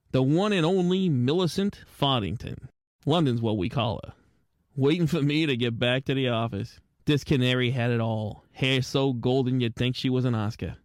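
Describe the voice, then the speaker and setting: An actor playing a wisecracking, streetwise penguin private eye who narrates in hard-boiled noir radio drama style. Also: male voice, mid-range voice, no echo, clean studio sound, close to an everyday voice. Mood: smitten. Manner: streetwise slangy wisecracking delivery. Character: wisecracking penguin private detective, adult male, lovestruck and world-weary.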